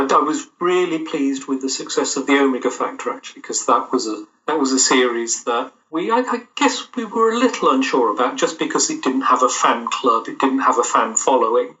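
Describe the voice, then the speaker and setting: Man, mature, bright forceful tone, thoughtful interviewee, slightly lo-fi sound. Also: medium pitch. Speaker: audio drama producer, interview guest. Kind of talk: casual interview answers. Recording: dull, lo-fi call-like sound.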